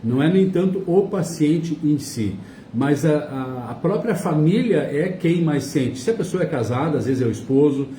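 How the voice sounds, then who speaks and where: A man's voice: fairly high pitch, lively intonation, normal speed, dark tone, a little hiss or hum. A man hosting a radio talk show, asking his guests long, conversational questions. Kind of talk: casual interview chat. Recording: echoey room, mic at a distance.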